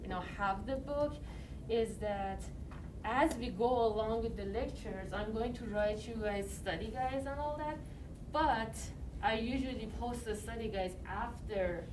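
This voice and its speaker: An Iranian-accented woman teaches a chemistry class, talking in a loose, conversational way full of fillers. She is picked up by an iPad some distance away, so her voice sounds far off. Steady room noise runs underneath. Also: on the high side, a little slow. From Iran. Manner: conversational, many fillers like "you know" and "like". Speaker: chemistry lecturer. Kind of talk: classroom lecture. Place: university classroom. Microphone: iPad recording from a distance. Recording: distant mic, noisy classroom.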